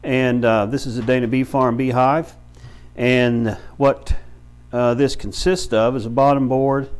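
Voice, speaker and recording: Plain-spoken middle-aged man, halting delivery. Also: mid-range voice, steady tone, smooth. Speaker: beekeeper and bee farm shop owner, instructor. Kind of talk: informal instructional demonstration. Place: small brick-walled room with many windows, indoors.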